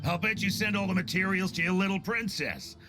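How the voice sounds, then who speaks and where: Grumbling townsman: actor playing him high, animated, mocking game voice with music behind. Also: brisk, lightly acted. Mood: mocking, annoyed. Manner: jeering, blustery. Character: loud, mocking, cantankerous townsman. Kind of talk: video game voice acting.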